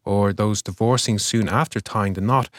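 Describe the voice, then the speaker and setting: Male podcast host, low voice, dry studio sound, measured scripted delivery. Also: fairly even intonation, dry recording. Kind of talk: scripted news review.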